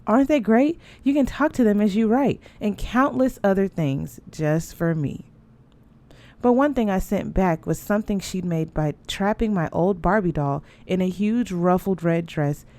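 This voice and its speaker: A woman reading a novel aloud, narrating and giving the characters lively voices that rise high in their dialogue. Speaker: audiobook reader.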